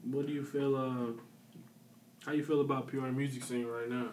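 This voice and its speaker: A man's voice: mid-range voice, level tone, moderate pace, a little mellow, recorded from a distance. A man hosting a podcast, asking loose, slangy questions and cracking jokes. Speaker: podcast host. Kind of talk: casual interview chat. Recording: echoey room, voice off-mic, faint background noise.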